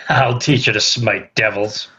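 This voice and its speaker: Sharp, forceful male interrogator, acted by a man. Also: fairly low pitch, fairly even intonation, unhurried. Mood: scornful, threatening. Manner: scornful, forceful. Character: scornful, threatening medieval trial interrogator. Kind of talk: dramatic script table read. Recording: dull, lo-fi video call audio.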